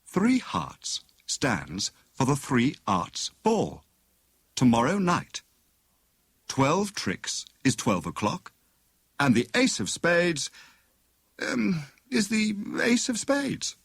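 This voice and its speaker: A man voicing a witty, sharp-tongued young wife with a withering, coaxing and brisk manner in an audiobook. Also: fairly high pitch, wildly swooping pitch, vocal fry, husky, dry recording, clean studio sound, pitched above the performer's own voice, heavily acted. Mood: keen, brisk.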